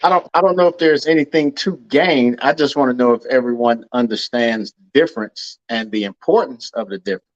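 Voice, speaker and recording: A man's voice: medium pitch, fairly quick, hardly any pauses, dry recording, muffled recording. A man hosting a casual discussion over a call, earnest and often repeating words as he thinks. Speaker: podcast host.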